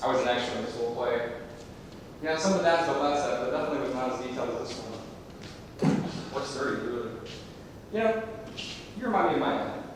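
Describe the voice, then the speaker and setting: A man's voice: lively intonation, fast talker, long pauses, close to an everyday voice. A man playing a chatty, clueless young college student in a stage comedy, rambling and earnest. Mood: friendly. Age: young adult. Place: theater stage. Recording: echoey theater, distant mic, rumbling noise.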